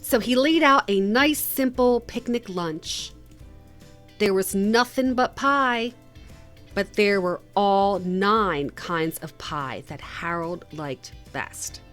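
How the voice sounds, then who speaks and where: A woman reading a children's picture book aloud in an expressive, measured storytelling manner. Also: mid-range voice. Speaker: read-aloud host.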